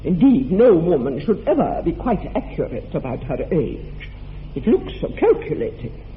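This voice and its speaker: A haughty older aristocratic woman , played by a man, in an old radio play, pitched high and swooping wildly from note to note. The delivery is grand and drawn out, and the sound is thin and phone-narrow with a faint hiss behind it. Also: sing-song, normal speed. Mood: condescending.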